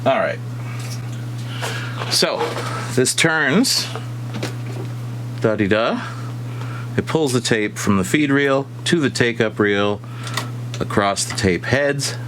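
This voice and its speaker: A man thinking aloud in casual, halting, playful commentary while he works hands-on with a machine indoors. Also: mid-range voice, lively intonation, a bit bright. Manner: halting, muttering to himself, playful. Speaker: vlogger repairing a tape deck. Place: indoors at a repair bench. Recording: clear machine hum and whir.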